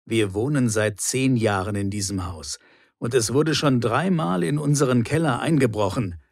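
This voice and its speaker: Man acting a grumbly neighbour guy, clear and reproachful, language lesson skit, very dry sound. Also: mid-range voice, moderate pace, no echo. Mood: firm, reproachful. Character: grumbling male apartment neighbour, particular and reproachful. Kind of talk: acted language-lesson dialogue.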